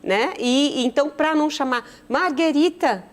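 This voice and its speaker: A woman's voice: high-pitched, normal speed. A woman with a Brazilian accent hosts a television programme. She speaks in a conversational style, addressing both her guests and the audience at home. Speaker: TV show host. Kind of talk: conversational TV hosting.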